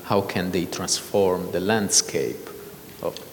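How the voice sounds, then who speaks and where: A man moderating a panel, asking questions in a halting, polite way. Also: deep voice. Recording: hall with faint noise.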